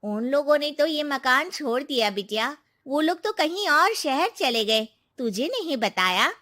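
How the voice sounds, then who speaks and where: A woman's voice: high-pitched, lively intonation, measured pace, sharp tone, heavily acted. A woman playing a gossipy, concerned neighbour in a village tale.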